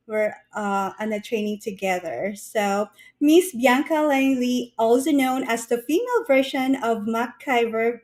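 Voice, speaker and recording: A woman hosting a live-streamed interview, friendly and eager, laughing often. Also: on the high side. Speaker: host.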